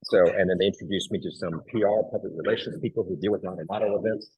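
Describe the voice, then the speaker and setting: A middle-aged man from Hong Kong talks in a low, husky voice. He tells stories loosely, with fillers and restarts. The sound is close and dry. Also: fast talker, dry recording, dull audio. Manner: animated storyteller, many fillers and restarts. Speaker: actor and writer, guest. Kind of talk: casual interview.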